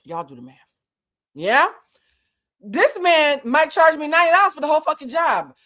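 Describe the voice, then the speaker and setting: A woman whose first language is French tells a casual story to her viewers. She is animated and profane, and she talks straight to her audience. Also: sits high, moderate pace. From Boston. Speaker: channel host.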